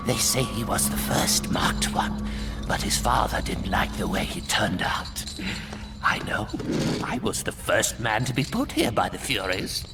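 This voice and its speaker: A man's voice: mid-range voice, huge pitch swings, slow pace, pauses often, heavily acted. An actor playing a mad old male prisoner who keeps a record, whispering and giggling in a sing-song way over orchestral music. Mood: unhinged. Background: orchestral game music.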